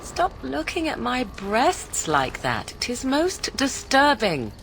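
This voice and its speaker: Casting: sardonic witch of the wilds, fantasy game. Fairly high voice, theatrical and haughty. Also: huge pitch swings, slow, gravelly, a bit bright, slight background noise, exaggerated voice. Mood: disdainful. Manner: arch, disdainful, theatrical old-fashioned phrasing. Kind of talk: fantasy video game voice acting.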